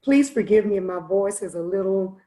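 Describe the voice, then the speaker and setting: Woman, 50s, raspy, enthusiastic presenter, echoey room, video call audio. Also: medium pitch, lively intonation, moderate pace, mellow. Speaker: music instructor presenting a master class. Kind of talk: online presentation.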